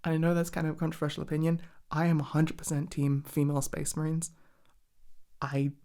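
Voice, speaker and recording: A woman's voice: low voice, little pitch movement, a little fast, dark tone. A woman rambling casually in an ASMR chat, enthusiastic and sweary, with hesitant, meandering delivery. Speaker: hobby ASMR content creator.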